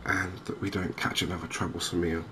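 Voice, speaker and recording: A man gives gentle, softly spoken ASMR commentary on a game, chatty and unhurried with hesitant pauses. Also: low voice. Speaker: gaming video host. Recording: clear steady background noise and ambience.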